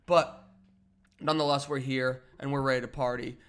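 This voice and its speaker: A man's voice: mid-range voice, little pitch movement, normal speed, a bit bright, clean tone. Upbeat man co-hosting a casual podcast. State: a bit under the weather. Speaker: podcast co-host. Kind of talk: casual chat. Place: apartment in a big city.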